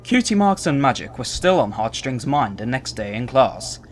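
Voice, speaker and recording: A man's voice: medium pitch, sing-song, normal speed, lightly acted. A man delivering scripted storybook narration as the narrator of an audio drama.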